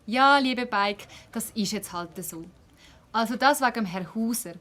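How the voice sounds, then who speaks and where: Woman acting a village mayor, highish voice, brisk, official, condescending, Swiss accent, children's audio play. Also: a bit bright. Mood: dismissive, official.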